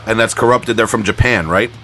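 A man's voice: lowish voice, pushed and bright. A man hosting a podcast, chatting casually in a slangy, rambling way. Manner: slangy, rambling, often says "man" and "you know". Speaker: podcast host. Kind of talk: casual chat interview.

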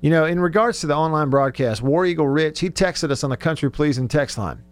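A man's voice: a little high, fairly quick. A man hosting a casual sports radio show, conversational and thinking aloud as he goes.

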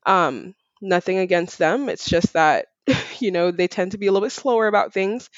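A woman from Barbados chats as an interview guest in an informal, conversational way. Her voice is mid-pitched, and the sound is dry and somewhat dull. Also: moderate pace, no echo. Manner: informal, peppered with "like" and "you know". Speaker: podcast guest. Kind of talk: casual conversational interview answers.

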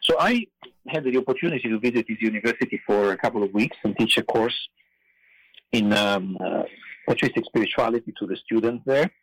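A middle-aged man from Italy with an Italian accent, telling a story as a guest on a podcast, pausing often with fillers. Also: on the low side, husky, dry recording, low-quality recording. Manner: hesitant, many "uh" and "you know" fillers. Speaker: guest, theology professor.

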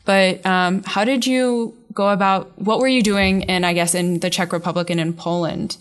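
A woman's voice: mid-range voice, steady tone, normal speed. A young woman, a student, interviewing a classmate in a casual, chatty way. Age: young adult. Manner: chatty, loosely phrased questions.

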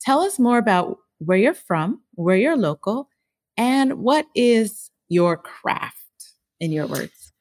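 A woman's voice: on the high side, sing-song, slow pace, a little mellow. A woman hosting a podcast, reading an upbeat introduction and then chatting loosely. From Ghana. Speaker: podcast host.

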